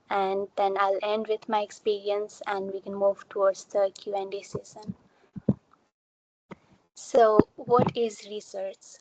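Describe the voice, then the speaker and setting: A woman's voice: mid-range voice, a little mellow, no echo, rough recording. A young woman from Nepal giving an online talk, explaining things step by step with simple examples. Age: young adult. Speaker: student researcher giving a talk. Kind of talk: online presentation. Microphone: computer mic on a video call.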